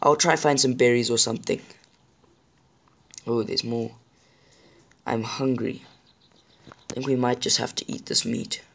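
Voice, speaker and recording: A man with a sore throat hosts a gaming channel, talking over his play at a middle pitch. His voice sounds a bit rough, and he chats casually and apologetically to his viewers. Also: fairly even intonation, a little slow, long pauses, a bit bright. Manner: chatty, apologetic, addresses viewers directly. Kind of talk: casual gameplay commentary.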